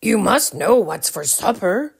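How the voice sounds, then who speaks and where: Woman reading as a stern old king, slow and firm. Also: mid-range voice, very animated, vocal fry, slightly rough, full character voice.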